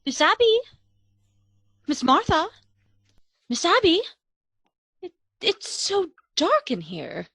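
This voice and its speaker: A woman's voice: very high voice, wildly swooping pitch, takes it slowly, vocal fry, pauses often, a bit bright, a little hiss or hum, heavily acted. A woman playing an anxious young neighbour in a radio play, exclaiming in alarm. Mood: uneasy.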